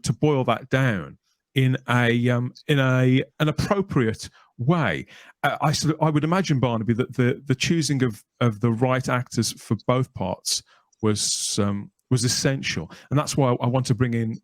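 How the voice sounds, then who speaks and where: A man's voice: medium pitch, dry recording. A man hosting a casual podcast interview, feeling his way carefully through his phrasing.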